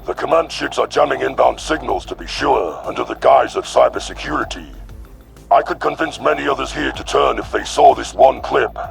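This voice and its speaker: A man voicing an arrogant, contemptuous alien predator warlord in a sci-fi audio drama, with a gravelly growl and a boastful, sneering manner. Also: medium pitch, moderate pace, music in the background, pitched below the performer's own voice. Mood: scornful.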